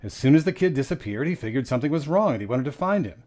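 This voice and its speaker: Actor as male senior agent, medium-pitched, guarded, deferential and composed, audio drama delivery. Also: expressive, fast talker, a little mellow, lightly acted. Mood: explanatory. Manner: formal, controlled, deferential. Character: guarded, dutiful male senior intelligence officer, unflappable.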